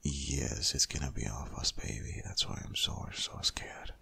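A man playing a protective, worried boyfriend in an intimate ASMR audio roleplay, speaking insistently in a husky, half-whispered voice. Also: steady tone, moderate pace, sharp tone, exaggerated voice. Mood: alarmed, worried. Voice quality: very deep, gravelly, husky, often half-whispered.

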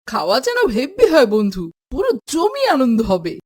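A cheerful, excitable schoolboy from a moral cartoon, acted by a woman. The voice is high and bouncy in pitch. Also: normal speed, husky, full character voice. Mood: cheerful, excited. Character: cheerful, excitable schoolboy among picnic friends. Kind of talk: children's moral cartoon dubbing.

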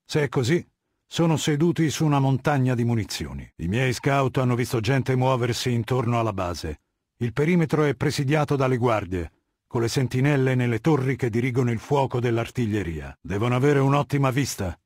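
An actor voicing a cold, haughty middle-aged warlord dictator in a video game, lecturing in a measured, commanding and disdainful way. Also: male voice, medium pitch, animated, a little mellow, dry recording, clean studio sound, close to an everyday voice. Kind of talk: video game character dialogue.

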